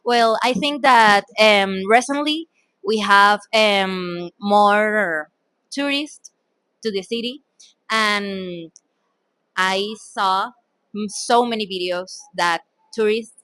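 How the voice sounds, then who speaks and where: Venezuelan woman, slow careful english, bright tone, lots of ums, dry close sound. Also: mid-range voice, no echo. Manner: hesitant, many ums. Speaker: interviewee. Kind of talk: street interview answers in a second language.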